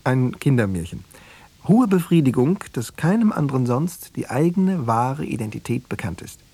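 An actor playing a pedantic, self-satisfied academic who runs secret police experiments, lecturing in a bookish way, in a radio drama. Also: male voice, mid-range voice, warm tone, breathy edge, no echo.

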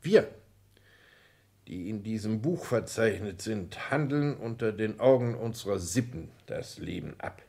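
Haughty old count, lowish voice, really slow n formal, 60s german radio drama. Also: male voice, expressive, gravelly, mellow. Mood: haughty, reserved. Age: older. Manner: formal, measured, clipped aristocratic diction. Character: reserved, haughty aristocratic count, father of a marriageable daughter. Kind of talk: 1960s German radio play acting.

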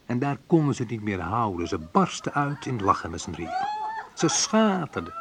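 A man's voice: fairly low pitch, background noise. An actor playing a wounded man who narrates his youth in a radio play, in short colloquial phrases. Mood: bitter, sardonic. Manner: colloquial, sardonic, clipped short sentences. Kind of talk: dramatised first-person narration, radio play.

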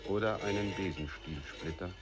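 A man playing a solemn chorus narrator in a radio drama, reciting poetry in deliberate, clipped fragments. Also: deep voice, lightly acted. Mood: solemn, observing.

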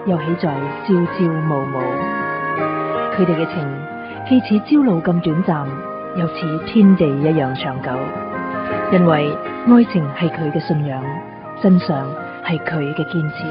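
Female radio announcer, lowish pitch, poetic scripted intro, slightly muffled over prominent music. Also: a little slow. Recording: dull band-limited broadcast, loud music behind.

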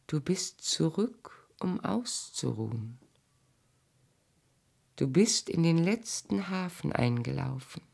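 An actress in a German radio drama playing a bitter yet tender aging wife of a homecoming hero. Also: female voice, low voice, sing-song, takes it slowly, creaky, darkish tone, slightly rough, exaggerated voice. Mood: grave, knowing.

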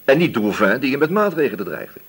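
An actor playing a shrewd, sceptical police inspector in a Dutch radio drama, questioning briskly and with authority. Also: male voice, mid-range voice, big pitch swings, slightly rough. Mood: puzzled, deliberate.

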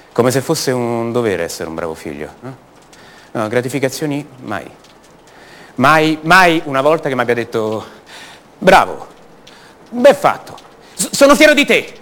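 An actor playing a bitter, restless grown son back in his family home, delivering a halting radio theatre monologue that repeats words and confides in the listeners. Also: mid-range voice, very animated, fairly bright tone. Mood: bitter, resentful. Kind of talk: stage-play monologue acted for radio theatre.

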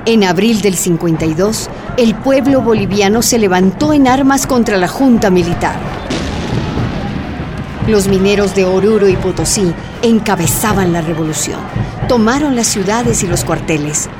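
A woman's voice: medium pitch, moderate pace, background noise. A woman narrating a scripted radio drama.

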